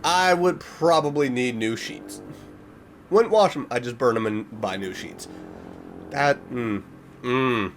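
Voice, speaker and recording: Animated man with lively, swooping pitch. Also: slow, creaky, a little hiss or hum. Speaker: reaction video host. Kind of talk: casual reaction commentary, reading aloud and reacting.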